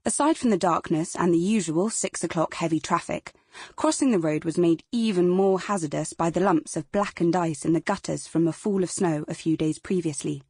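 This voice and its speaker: A woman's voice: lowish voice, normal speed, a little mellow, husky, no room sound, studio quality. A woman with a British accent narrating dramatic fiction as a scripted audiobook.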